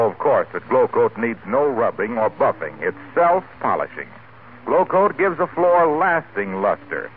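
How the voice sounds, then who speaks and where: An American man working as a 1940s radio announcer, reading scripted introductions and advertising copy. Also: medium pitch. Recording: tinny, hissy 1940s radio broadcast.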